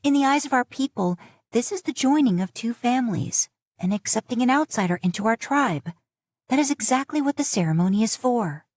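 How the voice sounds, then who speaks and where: Narrator doing a headstrong young woman, sharp, forceful, higher pitch, storybook. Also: animated, a little fast, no room sound, studio quality. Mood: pleading.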